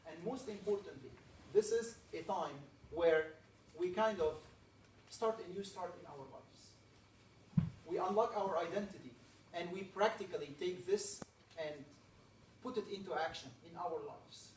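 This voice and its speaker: A man's voice: high-pitched, a little slow, a little hiss or hum. A man from Egypt giving an earnest, rallying opening talk to a camp audience, building up emphatic lists. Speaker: camp speaker. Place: camp meeting hall. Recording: echoey room, voice from a distance.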